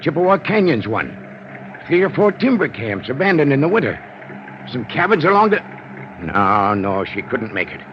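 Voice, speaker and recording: A man's voice: mid-range voice, big pitch swings, normal speed, a little hiss or hum, lightly acted. An actor playing a worried, agitated small-town father searching for his daughter in a 1950s radio drama, hesitant as he talks. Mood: anxious, thinking aloud. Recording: muffled old radio recording.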